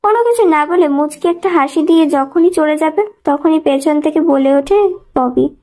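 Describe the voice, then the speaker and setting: Lady with a high voice narrating a thriller story, reading from a script. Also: normal speed, mellow.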